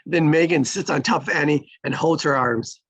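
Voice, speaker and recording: Gravelly, husky screenplay narrator. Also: medium pitch, normal speed, dry recording. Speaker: stage-direction reader. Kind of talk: scripted narration, screenplay table read.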